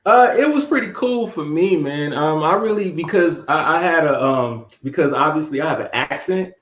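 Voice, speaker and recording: A laid-back man with a Southern US accent spins loose travel stories, full of slang and quick laughs. His voice comes through a muffled remote call and rings in an echoey room. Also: a little high, lively intonation, fairly bright tone. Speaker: interview guest. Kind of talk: casual interview chat.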